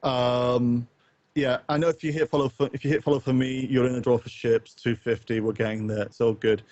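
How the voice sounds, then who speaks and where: A man's voice: medium pitch, little pitch movement, a little fast, darkish tone, no room sound. A man co-hosting a podcast, chatting casually with live viewers in a rambling, hesitant, wry way. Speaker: podcast co-host and streamer. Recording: dull, close, lo-fi voice chat.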